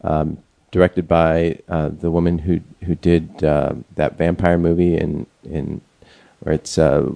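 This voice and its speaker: A man in casual conversation about upcoming films, rambling and pausing often to search for names. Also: rumbling low, level tone, creaky, mellow, no echo. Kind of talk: casual movie chat.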